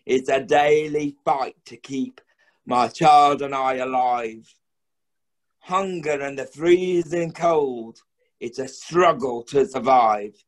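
An actor playing a weary, downtrodden working-class father, proud and bitter with grief, in a measured theatrical verse monologue. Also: medium pitch, creaky. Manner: slow, measured, theatrical delivery with refrains.

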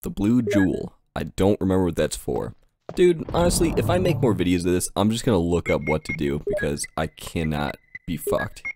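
A man's voice: sits low, very animated, normal speed, a little mellow, no room sound. A man giving casual let's play commentary, crude and exclamatory, swearing freely. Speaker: gaming video host.

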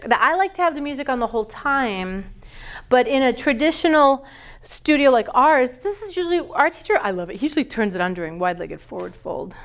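A woman with a high, bright voice leads a class of yoga teacher trainees. She keeps firing questions at the group and answers them herself. She sounds middle-aged and is picked up by a camera across a large wooden studio. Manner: lively, quizzes students with questions. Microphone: far camera.

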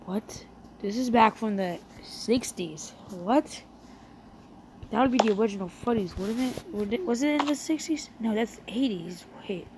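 A woman chatting in character during a casual game roleplay, breaking into excited exclamations. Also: fairly high pitch, unhurried, noisy background. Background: loud game music.

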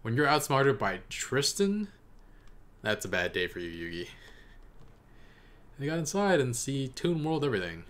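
A man's voice: medium pitch, big pitch swings, moderate pace, long pauses, a bit bright. A young man giving casual, off-the-cuff reaction commentary as a video host. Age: young adult. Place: small room indoors. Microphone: desk condenser microphone.

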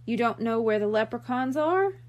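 A woman voicing a calm, authoritative small-town policeman in a children's storybook, with a measured manner. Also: fairly high pitch, natural voice. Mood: skeptical.

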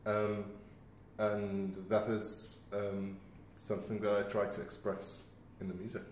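Man, podcast host, casual, halting, unhurried, echoey with faint noise. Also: lowish voice, monotone. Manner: halting, hesitant. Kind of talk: casual chat.

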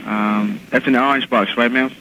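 Man, grocery employee, crisp bright tone, polite, patient, hesitant, faintly noisy phone line. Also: mid-range voice, steady tone, moderate pace. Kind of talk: customer service phone call. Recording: phone call with clear line noise.

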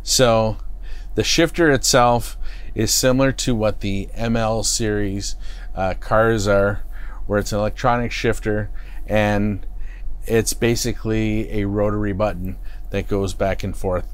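A man's voice: on the low side, fairly even intonation, measured pace, smooth. A middle-aged man giving a plain, stop-start how-to walkthrough from the driver's seat of a parked motorhome, with fillers and restarts, on a lapel mic. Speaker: product expert. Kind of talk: unscripted how-to demonstration. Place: inside a parked motorhome cockpit.

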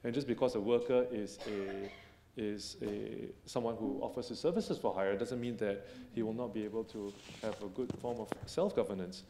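A man in his thirties on a conference panel, making a measured argument into a handheld mic and restating his points as he goes. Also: fairly low pitch, steady tone, vocal fry, dark tone, a little hiss or hum. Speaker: company panelist. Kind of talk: panel discussion. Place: conference stage in a hall. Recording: echoey hall.

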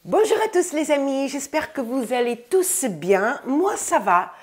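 A woman in her thirties with a high voice and a stuffy nose from a cold chats casually about her groceries. She takes her time, is upbeat and keeps addressing her viewers as friends. She was recorded on a lapel mic in a bright room indoors. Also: sing-song, measured pace. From France. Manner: chatty, says "les amis" often, upbeat. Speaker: vlogger. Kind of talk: casual grocery haul vlog.

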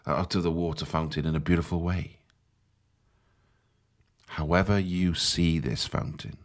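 A man with a low, deep voice leads a guided meditation. He speaks in a measured, soothing way, giving gentle instructions as a guide would.

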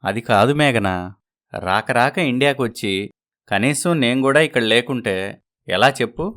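A man's voice: medium pitch, moderate pace, fairly bright tone, natural voice. Earnest dutiful grown son voiced by a man. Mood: pleading. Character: dutiful adult son torn between wife and parents. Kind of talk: animated moral story dialogue.

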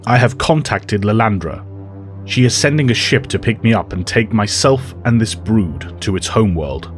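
An actor playing a calm, dignified male telepathic professor who speaks in a formal, measured way. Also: fairly low pitch, faint music behind, natural voice.

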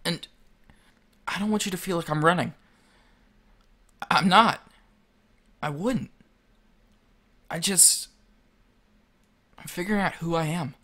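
A man acting in an audio drama as a subdued, earnest young man with a halting way of talking. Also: sits high, sharp tone, full character voice. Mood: hesitant, sincere. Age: young adult. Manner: halting, hesitant.

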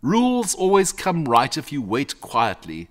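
A man voicing a calm, bookish young boy in a storybook, matter-of-fact, patient and slightly superior. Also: fairly high pitch, a little slow, pitched above the performer's own voice. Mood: calm, assured. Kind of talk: storybook character reading.

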